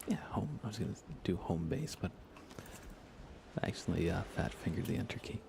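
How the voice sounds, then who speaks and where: A man softly commentating on a relaxed ASMR gaming livestream, often near a whisper, musing aloud casually with dry humor. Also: deep voice, a little fast, vocal fry, noisy background. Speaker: live streamer, host.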